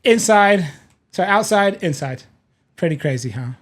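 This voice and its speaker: A man's voice: sits high, animated, unhurried. A British-accented man, a podcast producer, chatting casually with hesitant, self-deprecating restarts. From Europe. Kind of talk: casual chat.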